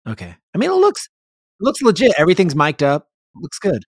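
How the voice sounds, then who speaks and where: A man's voice: fairly high pitch, wildly swooping pitch, a little fast, husky, no echo, studio quality. A man hosting a podcast, chatting informally and reacting with enthusiasm. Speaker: podcast host. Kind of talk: casual interview chat.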